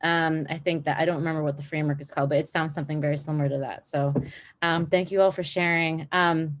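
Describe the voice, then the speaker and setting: Low-voiced, hesitant woman moderator. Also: fairly even intonation, fast. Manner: hesitant, searching for words. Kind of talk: moderating a panel.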